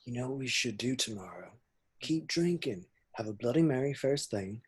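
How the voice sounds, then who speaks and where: A man's voice: medium pitch, animated, normal speed, natural voice. A man playing a crude, cheerful young slacker in an amateur comedy table read, with sweary, matey banter. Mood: matey, coaxing. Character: crude, cheerful, loyal young male slacker best mate. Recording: home-recorded remote read.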